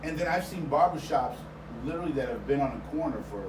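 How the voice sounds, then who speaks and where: Noisy distant recording, male interview host, mid-pitched, casual, halting phrasing with repeated words. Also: animated. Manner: halting, repeats words while forming questions. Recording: roomy, distant mic, noisy background.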